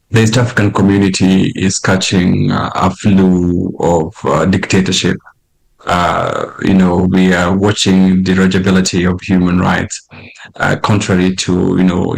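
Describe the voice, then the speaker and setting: Forceful Kenyan man, restarts a lot. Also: sits low, vocal fry, rough recording. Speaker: political activist guest. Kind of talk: news interview.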